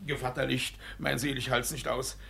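An actor playing a sly, flustered middle-aged village judge, blustering and wheedling, in a 1950s German radio play. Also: male voice, mid-range voice, little pitch movement, moderate pace, vocal fry, sharp tone, breathy edge, full character voice. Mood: pleading, urgent. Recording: noisy early 1950s radio recording.

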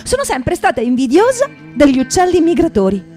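A woman's voice: high voice, sing-song, fairly quick, mellow. A woman performer giving a dramatic reading in a piece of radio theatre. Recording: guitar music behind the voice.

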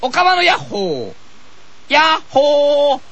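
A man's voice: very high voice, sing-song, takes it slowly, a bit bright, highs cut off. A man co-hosting a radio show with casual comic banter, eager and agreeable in manner.

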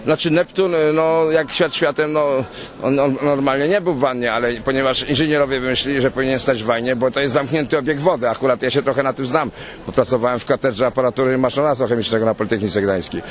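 Male street interviewee, medium pitch, quick bursts, repetitive casual explaining outdoors. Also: fairly even intonation.

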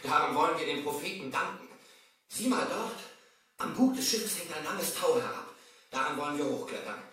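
A man's voice: on the high side, lively intonation, moderate pace, sharp tone. A man playing a loyal, devout and hopeful manservant, earnest and deferential, in a 1970s children's radio play. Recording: very echoey, distant vinyl radio-play transfer.

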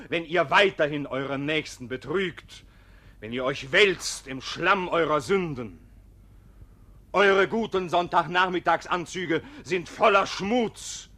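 A man's voice: fairly high pitch, bright, forceful tone, full character voice. A man playing a fervent street preacher in a German radio play, accusing sinners in solemn, declamatory oratory.

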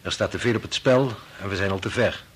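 An actor with a Netherlands Dutch accent playing a decisive, matter-of-fact medical researcher steering a meeting in a radio drama. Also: male voice, on the low side, fast talker, breathy edge. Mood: businesslike.

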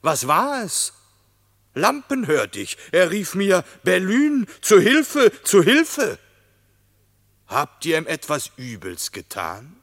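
Slow, husky, gullible ram. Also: male voice, high voice, wildly swooping pitch, fairly bright tone, full character voice. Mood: suspicious. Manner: deliberate, naive, theatrical. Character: simple, gullible male ram, earnest and fretful. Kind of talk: German radio play verse drama.

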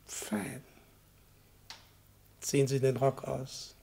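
An actor playing an old, matter-of-fact retired hangman in a radio play, with a husky voice and terse remarks. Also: mid-range voice, very slow, dark tone, slight background noise, full character voice. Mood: calm, businesslike. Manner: terse, clipped little remarks. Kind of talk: German-language radio play acting.

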